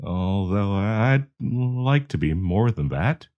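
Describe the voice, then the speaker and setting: A man's voice: on the low side, lively intonation, slow, highs cut off. A man playing a nervous, awkward young man shyly confessing love to a friend in an audio roleplay, stammering and hesitant. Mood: shy, embarrassed. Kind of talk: intimate audio roleplay acting.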